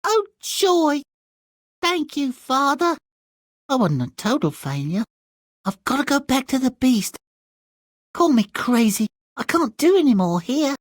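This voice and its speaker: A man's voice: very high-pitched, big pitch swings, measured pace, long pauses, dry recording, studio quality, full character voice. A man voicing a flighty, materialistic young fairy-tale heroine in a comic game, with breezy, self-absorbed valley-girl talk. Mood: brightly dutiful. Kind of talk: comic video game cutscene acting.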